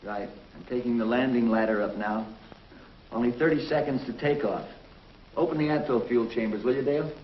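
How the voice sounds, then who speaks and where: A man's voice: mid-range voice, a little fast, slight background noise, natural voice. A man playing a cocky space hero. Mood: brisk. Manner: cocky, commanding. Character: heroic young male spaceship pilot, confident and brash. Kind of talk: 1950s TV drama acting. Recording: dull lo-fi 1950s TV soundtrack with music.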